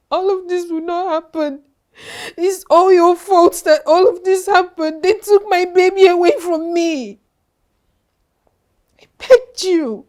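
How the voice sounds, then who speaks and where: A woman with a very high, piercing voice plays a mother undone by grief. She sobs and accuses, repeating herself through tears. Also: a little mellow. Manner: sobbing, accusing. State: crying. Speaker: grieving mother. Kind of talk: melodrama acting.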